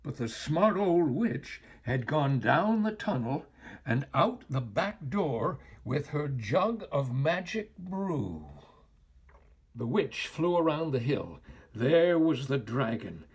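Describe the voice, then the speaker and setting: An older man reading a children's story aloud as a storyteller, with theatrical, expressive and deliberate phrasing. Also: on the high side, slightly rough.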